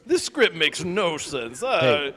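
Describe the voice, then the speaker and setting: A man doing improvised radio comedy, reading haltingly and breaking off flustered. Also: on the high side, very animated, pushed and bright, big-room sound.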